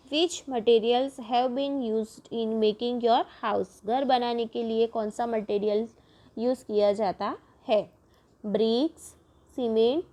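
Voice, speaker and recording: A fairly high-voiced woman, teaching a primary class. She reads each textbook sentence at a very deliberate crawl, then explains it again in her own words. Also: a little mellow. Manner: reads English lines slowly, then explains rapidly in Hindi-English mix. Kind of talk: bilingual textbook lesson, reading English then explaining.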